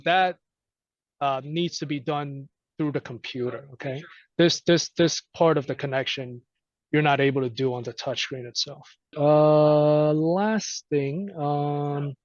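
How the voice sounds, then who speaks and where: A man giving an informal, halting technical walkthrough as a trainer, conversational and often checking in with listeners. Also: on the high side, dry recording, highs cut off. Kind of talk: informal screen-share software walkthrough.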